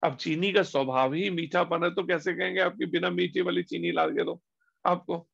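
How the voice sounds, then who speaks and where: A man giving a devotional discourse as a spiritual teacher, slowing down on key words and asking rhetorical questions. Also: sits high, fairly even intonation, vocal fry, fairly bright tone, breathy edge. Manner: slow, deliberate emphasis with pauses on key words. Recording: dull lo-fi recording.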